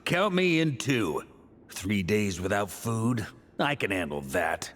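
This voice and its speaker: A hearty, jovial fellow. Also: male voice, lowish voice, very animated, slow pace, a bit bright, faint music behind, exaggerated voice. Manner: jovial, bold. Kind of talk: video game cutscene voice acting.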